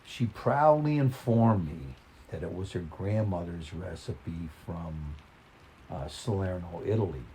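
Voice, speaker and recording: An older man reading a personal story in a folksy, plain-spoken, conversational way, with halting, reflective pauses. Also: sits low, expressive, mellow, slight background noise.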